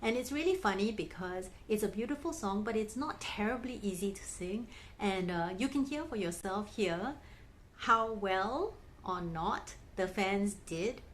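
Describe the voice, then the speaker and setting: A woman's voice: mid-range voice, lively intonation, measured pace, a little hiss or hum. A woman narrating her own vlog in a chatty, gushing fan manner. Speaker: vlogger. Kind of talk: casual narration.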